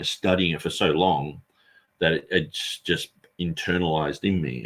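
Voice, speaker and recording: A man speaks in a deep, slightly husky voice. He answers at length in an earnest, rambling way and often restarts his sentences. He is a street preacher explaining his Bible translation work. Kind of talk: conversational interview answer.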